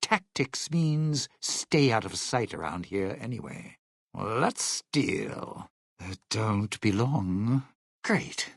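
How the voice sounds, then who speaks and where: Casting: a world-weary, sardonic showman in a video game; husky male voice, dry close sound, old-time slang and sly patter. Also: on the low side, expressive, slow, no room sound, heavily acted. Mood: wry, wary. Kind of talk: video game companion voice lines.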